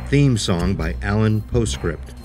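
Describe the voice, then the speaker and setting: A man reading a scripted show announcement in a formal, measured way. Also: fairly low pitch, lively intonation. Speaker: podcast announcer. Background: prominent music.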